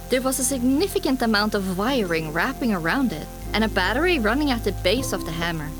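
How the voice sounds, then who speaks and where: A woman narrates a dramatised audiobook in the first person. Her voice is fairly high, and the scripted reading is dramatic. Music plays clearly underneath. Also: poor audio.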